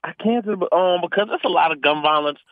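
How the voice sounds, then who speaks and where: Easygoing man, slightly high voice. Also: quick pace, no echo, phone-quality sound. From Philadelphia. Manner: easygoing, joking, casual. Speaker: community organizer, guest. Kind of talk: radio interview.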